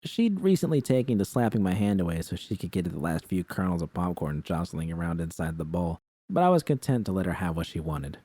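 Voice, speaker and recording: Dark, deep-voiced male story narrator. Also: very animated, fairly quick, no echo. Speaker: audiobook narrator. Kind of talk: scripted first-person fiction narration.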